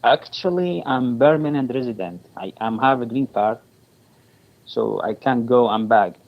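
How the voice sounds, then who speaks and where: A man from Iraq, speaking English as an as a caller, halting and searching for words. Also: mid-range voice, gravelly, dull audio. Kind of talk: casual chat.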